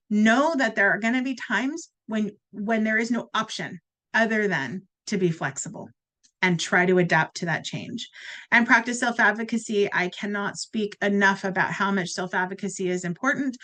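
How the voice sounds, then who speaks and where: A woman presenting her program on a video call, giving direct, encouraging advice with lively emphasis. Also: medium pitch. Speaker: program presenter at a community forum.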